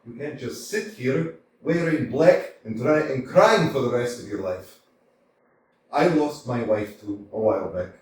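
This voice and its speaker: A man's voice: mid-range voice, a little mellow, distant mic, a little hiss or hum, lightly acted. An actor playing a blunt, teasing older male servant in a staged reading, chatty and down-to-earth. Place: small community theater stage. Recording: echoey stage picked up from afar.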